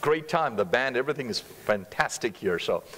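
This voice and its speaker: A middle-aged man from India with an Indian accent, preaching as a guest missionary speaker in a church, fast-talking and earnest with touches of humor. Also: mid-range voice, animated, a bit bright. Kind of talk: sermon. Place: church stage, large sanctuary. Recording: long reverb of a church hall.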